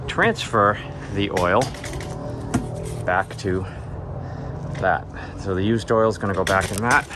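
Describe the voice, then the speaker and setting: Deep-voiced congested man over background noise. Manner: plain-spoken, talking through each step. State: congested from a cold, sniffling. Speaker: DIY car repair host. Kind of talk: hands-on tutorial, casual talk while working. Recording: clear background noise, vehicle work ambience.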